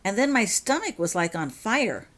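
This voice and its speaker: A woman's voice: mid-range voice, sing-song, moderate pace, fairly bright tone, voice pushed up. Woman as an earnest, hesitant schoolgirl.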